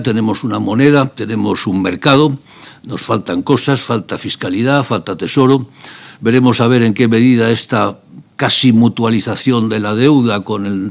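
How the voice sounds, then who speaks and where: Gravelly-voiced man giving a seminar talk. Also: medium pitch. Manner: measured, lecturing, with rhetorical questions. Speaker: seminar speaker.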